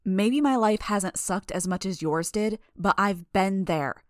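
A woman's voice: fairly low pitch, moderate pace, dry recording, studio quality, close to an everyday voice. A woman voicing a stubborn, earnest teenage girl in an audiobook, insistent and argumentative. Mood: heated, earnest. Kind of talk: dramatised audiobook dialogue.

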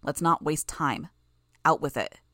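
A woman's voice: low-pitched, lively intonation, a little slow, a bit bright, no echo. A woman voicing a lazy, sardonic teenage boy villain who speaks in a dry, mocking drawl.

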